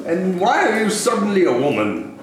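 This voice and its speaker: A man's voice: high-pitched, very animated, normal speed. A man playing a crabby old miser in an amateur dramatic stage reading, recorded in a noisy, echoey room. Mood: alarmed.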